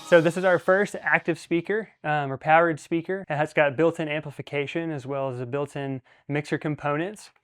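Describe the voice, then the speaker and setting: A man's voice: fairly high pitch, fairly even intonation, a little fast, few pauses, fairly bright tone, clear, resonant tone, soft background music. A young man giving a casual, plain-spoken product overview to camera as a presenter. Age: young adult. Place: indoor workshop-style studio with metal cabinets.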